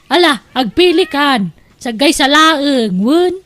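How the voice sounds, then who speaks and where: A woman acts out a grasping aunt in an audio drama. Her voice sits very high. She is bossy and coaxing, putting on generosity as she pushes her choices. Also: lively intonation, slow, fairly bright tone, full character voice. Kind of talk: Ilocano audio drama acting.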